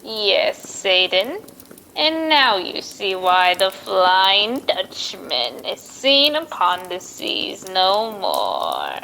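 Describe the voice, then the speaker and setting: A woman's voice: mid-range voice, huge pitch swings, extremely slow, creaky, sharp tone, slight background noise, heavily acted, rough recording. A woman voicing an eerie old storyteller, drawing out her words sinisterly as an old-time radio horror hostess. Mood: sinister, gloating.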